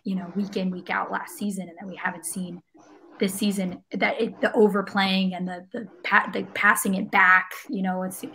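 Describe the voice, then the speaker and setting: A woman's voice: mid-range voice, little pitch movement, normal speed, sharp tone, a little hiss or hum, low-quality recording. A woman giving casual match analysis as a panelist on a soccer podcast.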